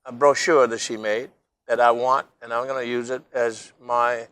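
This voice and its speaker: A man's voice: medium pitch, level tone, normal speed, a bit bright, clean tone. An older man hosting a local interview show, talking in a rambling, folksy way and addressing viewers as folks. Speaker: talk show host.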